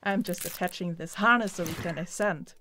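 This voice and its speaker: Woman acting a brisk Scandinavian forest podcaster. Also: on the low side, pushed and bright, slight background noise. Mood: brisk, matter-of-fact. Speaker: podcast host and creator. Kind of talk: audio drama acting.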